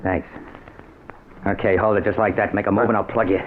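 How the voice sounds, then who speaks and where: A man's voice: lowish voice, level tone, brisk, natural voice. An actor playing a tough, menacing stick-up man in an old radio crime drama, with slangy, clipped gangster talk. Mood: casual menace. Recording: narrow, noisy vintage radio recording.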